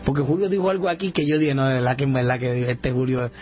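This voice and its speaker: Radio preacher guy, talks fast, repeats himself a lot, muffled radio audio. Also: medium pitch, flat delivery. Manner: fervent, repetitive, rising to shouts. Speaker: radio show host. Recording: dull lo-fi radio sound.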